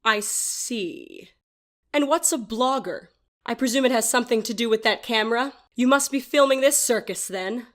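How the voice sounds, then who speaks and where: Haughty, high-voiced prim lady, played by a woman. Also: moderate pace, close to an everyday voice. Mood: haughty, indignant. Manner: imperious, condescending, disdainful. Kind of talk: audio drama acting.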